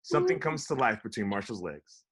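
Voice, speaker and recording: A man's voice: fairly low pitch, wildly swooping pitch, a little fast, fairly bright tone, breathy edge. A man narrating the script at a casual table read and chatting with the group. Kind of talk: reading screen directions, then casual chat.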